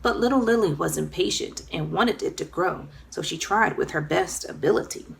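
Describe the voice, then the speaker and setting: A woman narrating a children's story aloud in an expressive, dramatic storytelling manner. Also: on the low side, normal speed, vocal fry, slight background noise. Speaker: children's author reading own book.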